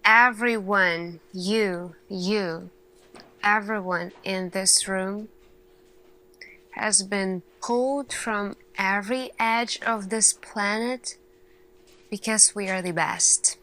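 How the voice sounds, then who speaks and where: A woman playing an earnest lead scientist in an ASMR roleplay, speaking deliberately in short phrases with dramatic pauses. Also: mid-range voice, sharp tone, poor audio. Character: earnest female lead scientist addressing her team. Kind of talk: slow ASMR roleplay monologue.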